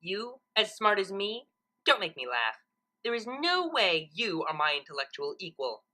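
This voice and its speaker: Woman playing an indignant, brainy cartoon pony, bright-voiced. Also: mid-range voice, very animated, normal speed. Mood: dismissive, smug. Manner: indignant, condescending. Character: exasperated, brainy female alicorn pony, dismissive and proud.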